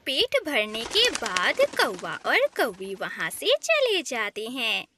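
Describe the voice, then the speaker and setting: Female storyteller for kids' tales: high-pitched, slow-paced, very animated scripted narration. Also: background noise.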